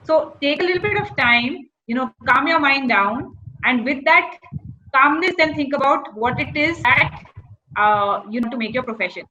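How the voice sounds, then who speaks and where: A woman's voice: sits high, animated, normal speed, sharp tone, dull audio. A woman with an Indian accent giving informal career advice to students, slipping between English and Hindi phrases.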